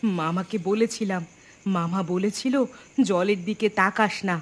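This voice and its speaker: A woman voicing a mother in a cartoon, gravely recounting village ghost tales in a knowing way. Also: mid-range voice, steady tone, unhurried, natural voice. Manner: grave, explanatory storytelling. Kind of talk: animated story dialogue.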